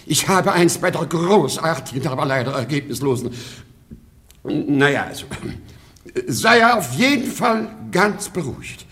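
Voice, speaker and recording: An actor playing a pompous, boastful and forgetful baron in a radio play of a classic comedy. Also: male voice, a little high, lively intonation, measured pace, fairly bright tone. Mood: indignant. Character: pompous, blustering, forgetful aristocratic baron and father-in-law.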